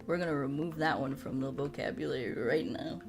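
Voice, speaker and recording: A woman from the United States chatting casually as a streamer, animated and rambling with a salty tongue. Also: very deep voice, brisk, music in the background. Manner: animated rambling, frequent swearing. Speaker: streamer chatting with viewers during a puzzle game. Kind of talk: casual livestream chat.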